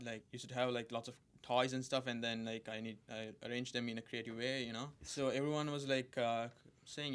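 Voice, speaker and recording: A man's voice: medium pitch, a bit bright, clean tone. A young man from Sri Lanka answering interview questions hesitantly, with many likes and uhs. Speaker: lead designer, guest.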